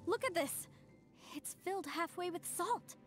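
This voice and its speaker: A woman's voice: extremely high pitch, a little slow, a bit bright. An actress playing an earnest, principled, proud young woman archaeologist in an anime game, speaking with formal conviction. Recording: music behind the voice.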